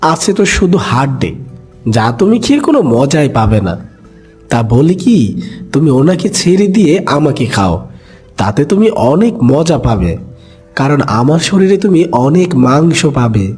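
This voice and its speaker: A man's voice: a little high, big pitch swings, measured pace, a little mellow, husky, soft background music, full character voice. A man voicing a kind, brave and clever young duckling in a cartoon animal fable, earnest and coaxing.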